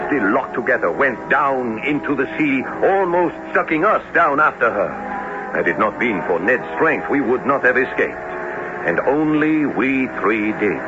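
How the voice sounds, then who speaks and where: Man acting a low-voiced, thoughtful professor narrator. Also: lightly acted. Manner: literary, measured. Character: thoughtful, measured professor narrating his sea adventure. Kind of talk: dramatic first-person radio narration. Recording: muffled old radio broadcast with music.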